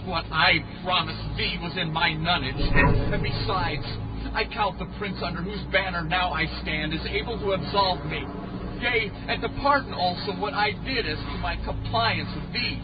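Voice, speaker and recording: An earnest pilgrim, acted by a man declares his loyalty in archaic audio drama speech. The male voice is high and declamatory, steadfast in temper. It sits away from the mic beneath loud music. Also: moderate pace, far from the mic, muffled recording, lightly acted. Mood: defiant, loyal.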